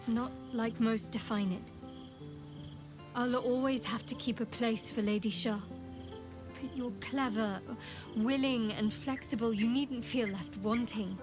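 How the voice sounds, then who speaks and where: A woman playing a young cleric of a dark goddess in a video game, devout and guarded, with a sly, teasing, measured way of talking. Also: fairly high pitch. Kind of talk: video game character dialogue.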